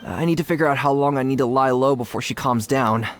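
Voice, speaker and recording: An actor playing a cowardly, scatterbrained young gang member in a video game, talking in a rambling, slangy way. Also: male voice, medium pitch, quick pace, soft background music, close to an everyday voice. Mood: anxious. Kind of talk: animated video game voice acting.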